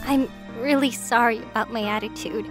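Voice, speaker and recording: A woman's voice: a little high, steady tone, moderate pace, over music, lightly acted. A woman voicing an earnest, easily flustered teenage girl in a manga dub, girlish and sincere. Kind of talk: manga dub character acting.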